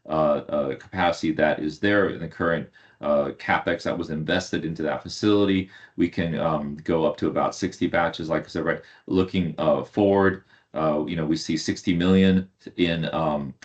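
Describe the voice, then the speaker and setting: Very low-pitched man, halting executive delivery. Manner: halting, hesitant delivery with repeated words. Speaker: company executive. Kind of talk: earnings call presentation and answers. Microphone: online conference call. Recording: dull lo-fi online call audio.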